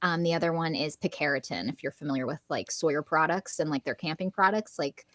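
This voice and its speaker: A woman's voice: low voice, quick pace, fairly bright tone, dry recording. A woman in her thirties from Southern California with a neutral American accent, chatting casually as an interview guest and filling her talk with "like" and laughs. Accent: General American. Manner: chatty, many "like" fillers, laughs often. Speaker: podcast guest, voice actor and coach.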